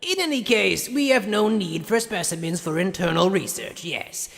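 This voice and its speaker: Arrogant anime mad scientist, creaky, wildly swooping pitch, mock-polite, condescending, sadistic. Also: male voice, big pitch swings, fairly bright tone, full character voice. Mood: smug lecturing. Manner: condescending, mock-polite, drawn-out theatrical delivery. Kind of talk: anime fan dub acting. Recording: long cavernous reverb.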